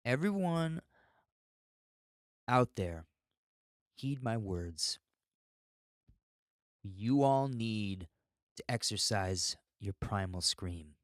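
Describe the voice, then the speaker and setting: A man's voice: fairly low pitch, animated, drawn-out words, long pauses, dry recording, studio quality. An American man hosting a podcast alone, rambling and ranting in an unfiltered, sweary way. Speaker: podcast host. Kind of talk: rambling solo podcast monologue.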